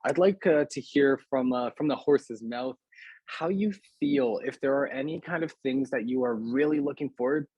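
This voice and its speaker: Husky-voiced chatty host, a man. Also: mid-range voice, normal speed, a little mellow, no echo, muffled recording. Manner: friendly, chatty, many ums. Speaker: podcast host, English teacher. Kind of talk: casual interview.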